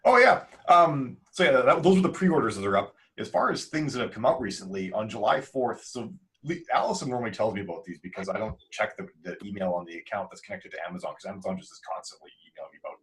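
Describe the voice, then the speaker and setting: A man's voice: on the low side, lively intonation, quick pace, a bit bright, rough recording. A man talking casually as an author on a podcast, rambling and often interrupting himself. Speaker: author, podcast crew member.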